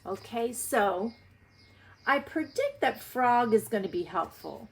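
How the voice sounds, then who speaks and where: Slow-paced woman teaching through a read-aloud. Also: on the high side, lively intonation, gravelly, slightly rough. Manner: deliberate, explanatory. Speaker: primary school reading teacher. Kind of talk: read-aloud lesson for young children, thinking aloud.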